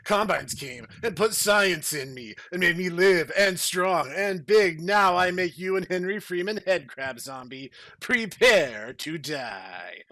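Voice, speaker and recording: A man's voice: high voice, expressive, sharp tone, no echo, full character voice. Man acting out tense, melodramatic action hero. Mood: menacing. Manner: melodramatic, halting, declamatory. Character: overwrought action heroes and villains in mangled speech. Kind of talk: mock-dramatic comic fan fiction reading.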